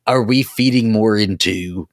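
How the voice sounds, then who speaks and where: A man's voice: lowish voice, unhurried, no echo, clean studio sound. A man co-hosting a podcast, chatting casually and effusively as a fan. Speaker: podcast co-host.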